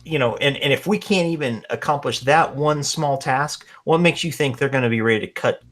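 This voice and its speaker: A man's voice: mid-range voice, brisk, fairly bright tone. A man co-hosting a casual podcast, skeptical and emphatic, swearing to drive his points home. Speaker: podcast co-host.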